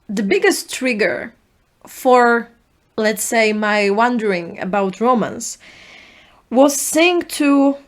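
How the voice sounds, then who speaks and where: Young Polish-accented woman with a higher voice. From Poland. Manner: earnest, reflective, speaks in short halting phrases. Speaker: podcast host. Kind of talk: solo podcast monologue.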